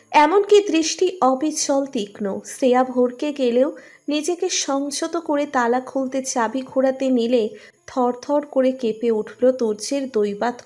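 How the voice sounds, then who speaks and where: A woman's voice: sits high, darkish tone. A woman reading a scripted romantic story as narrator.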